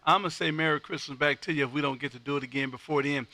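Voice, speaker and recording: A man's voice: a little high, fairly even intonation, racing, sharp tone, dry recording. An exuberant, folksy man hosting an amateur radio livestream, chatting on air and often repeating his phrases. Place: home radio room.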